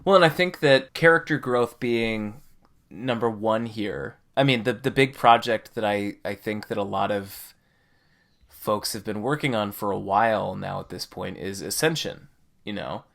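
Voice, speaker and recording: A man's voice: on the low side, a little slow, gravelly, a bit bright. A man co-hosting a podcast, chatting casually and earnestly about a game. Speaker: podcast co-host.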